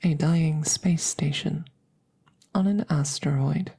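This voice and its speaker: Woman acting as alien examiner, female, ASMR roleplay, breathy half-whisper, dark mellow tone, formal, clinical, precise, unhurried. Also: sits low, fairly even intonation, exaggerated voice. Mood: clinical, hushed. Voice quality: soft, breathy, often whispered. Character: formal, curious female alien examiner interviewing a rescued creature.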